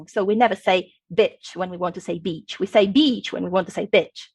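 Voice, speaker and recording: A woman's voice: on the low side, fairly quick, creaky, husky, no echo. An Italian woman speaking English with an Italian accent, a linguistics professor patiently explaining to an interviewer as a guest. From Italy. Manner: patient teacherly explaining, often checks with "okay?".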